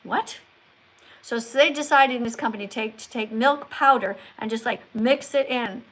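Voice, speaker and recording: A woman's voice: on the high side, normal speed, fairly bright tone. A woman hosting a live call-in stream, talking in a didactic way with short emphatic statements and probing questions. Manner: probing, presses callers with follow-up questions. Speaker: livestream host. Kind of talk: live call-in chat about veganism.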